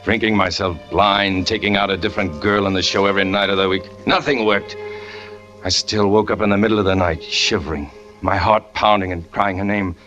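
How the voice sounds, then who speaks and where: An actor playing a brooding, jealous young male trapeze artist who narrates in a low, tense, confessional way in a 1940s radio drama. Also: steady tone, a little fast, a bit bright. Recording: muffled old radio broadcast with music.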